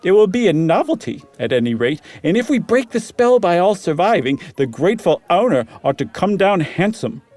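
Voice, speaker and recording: A man voicing a brash, eager young English gentleman in a theatrical, put-on British accent, reading a ghost story aloud. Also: sits high, very animated, moderate pace, few pauses, no room sound, soft background music, voice pushed up.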